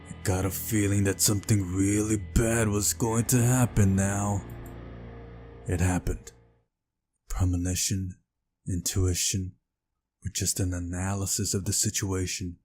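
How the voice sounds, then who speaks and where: A man with a low, very mellow voice reads a story aloud in a dramatic narrative style. He sounds like he is narrating a visual novel, with music playing behind him. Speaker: visual novel playthrough reader.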